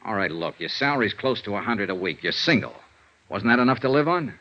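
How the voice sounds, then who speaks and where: Through a dull, lo-fi old radio recording comes a male actor as a hard-boiled American investigator. His low voice moves briskly, blunt and pressing, in classic vintage detective-show style. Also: lively intonation, natural voice. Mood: stern, pressing. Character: tough, blunt, persistent insurance investigator, adult man.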